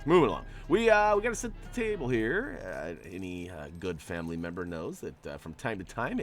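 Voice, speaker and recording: Nasal, chatty man, lively voice. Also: lowish voice. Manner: chatty, joking, rambling asides. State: stuffy from allergies. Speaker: gaming channel host. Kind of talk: casual gameplay commentary.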